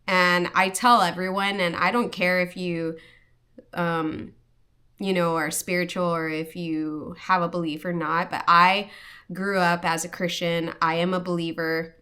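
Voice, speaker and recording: A woman's voice: on the low side, level tone, a little slow, bright, forceful tone, clean tone. A young woman from Hawaii talking casually and earnestly to camera, with lots of "like" and restarts. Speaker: vlogger. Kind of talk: casual personal talk to camera.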